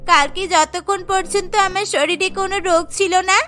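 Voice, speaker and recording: A woman's voice: extremely high pitch, fairly even intonation, moderate pace, pushed and bright. A woman voicing a childlike schoolgirl in a cartoon story, with a plaintive, exaggerated manner. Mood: hurt, pleading. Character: schoolgirl, childlike, whiny and pitying.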